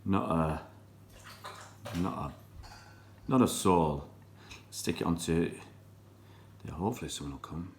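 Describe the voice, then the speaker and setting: Deep-voiced man chatting on a live stream. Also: animated, a little slow, pauses often, a little hiss or hum. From born in Pakistan, raised in England. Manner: chatty, greets viewers by name, rambling. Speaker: fan channel live streamer. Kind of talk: casual live-stream chat reading comments.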